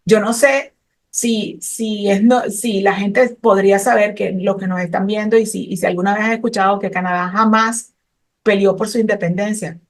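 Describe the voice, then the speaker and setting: A woman's voice: mid-range voice, steady tone, normal speed. A middle-aged woman from Colombia, talking passionately and technically about immigration law in an interview, with frequent hesitant fillers. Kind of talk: explanatory interview talk.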